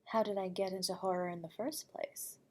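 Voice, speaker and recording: A woman playing an eerie, nocturnal horror radio host from beyond the grave, speaking to the listener in a soft, breathy, whispery voice, courteous and teasing, with frequent chuckles. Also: medium pitch, fairly even intonation, a little fast, natural voice. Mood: silky, teasing. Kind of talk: audio roleplay addressing the listener.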